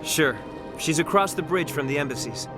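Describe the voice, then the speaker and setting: An actor voicing a nervous, awkward young soldier who stammers. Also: male voice, fairly high pitch, expressive, normal speed, natural voice. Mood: flustered. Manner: flustered, stammering. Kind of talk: video game voice acting. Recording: game audio over music.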